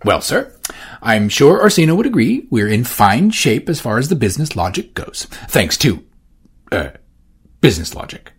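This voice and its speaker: A man voicing a polite, careful, formal male manager at a higher pitch than his narration. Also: sing-song, moderate pace, slightly rough, exaggerated voice.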